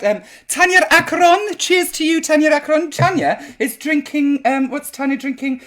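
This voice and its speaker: A man hosting a live chat show in a camp drag persona, sounding a bit croaky. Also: very high voice, a bit bright. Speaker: drag queen host. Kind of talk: live-streamed chat show, reading viewer comments.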